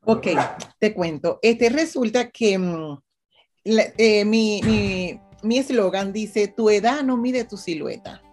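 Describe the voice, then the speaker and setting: Venezuelan lady, about fifty, chatty interview guest, keeps asking "ves?", soft music way back behind her. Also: medium pitch, slow. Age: 50s. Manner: animated, often checks in with "¿ves?". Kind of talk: casual conversational interview.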